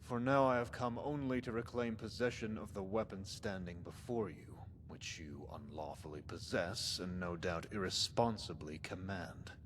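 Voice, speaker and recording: An actor playing a cold, menacing post-apocalyptic cult overlord, speaking in a pompous, archaic, deliberate manner as scripted game dialogue. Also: male voice, low-pitched, long reverb tail, slight background noise, lightly acted. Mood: cold, threatening.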